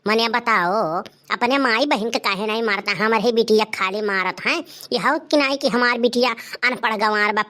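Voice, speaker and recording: A woman's voice: medium pitch, fairly bright tone, lightly acted. A woman voicing a protective, scolding Awadhi-speaking village mother in a cartoon comedy, with a blunt, grumbling way of talking. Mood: indignant.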